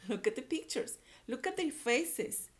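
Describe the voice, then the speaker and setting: Slow, animated woman teaching young readers. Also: sits high. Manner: repeats words and phrases, coaxing listeners with questions. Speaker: early-grade reading teacher. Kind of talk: read-aloud lesson for young children.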